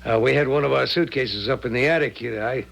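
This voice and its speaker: An American actor playing a hard, bossy small-time bank robber in a gruff voice, in 1970s radio drama style. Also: male voice, mid-range voice, fast, a bit bright. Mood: falsely apologetic. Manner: gruff, domineering, colloquial.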